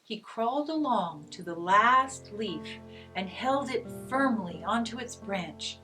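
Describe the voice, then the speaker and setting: A woman reading a children's story aloud, with expressive, gentle and deliberate narration. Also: on the high side, poor audio. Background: guitar music.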